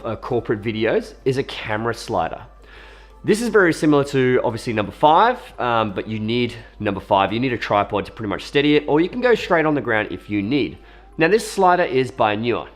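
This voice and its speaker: A young Australian man talks straight to his viewers in a relaxed, upbeat way, his voice a little croaky from a cold. His pitch moves a lot and sits in a middle register, and faint music plays behind him. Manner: chatty, upbeat, with fillers and asides. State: hoarse from a cold. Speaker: video creator reviewing camera gear. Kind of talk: casual talk to camera.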